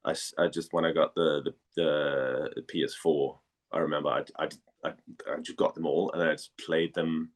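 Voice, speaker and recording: A man talks casually as an interview guest. He speaks in a halting way, circling back over phrases as he searches for words. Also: rumbling low, little pitch movement. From Denmark. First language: Danish.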